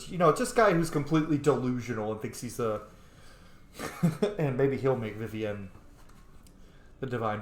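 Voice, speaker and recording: Animated man commenting in an echoey room. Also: medium pitch. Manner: animated, sweary. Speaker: gaming channel host. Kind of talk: casual game commentary.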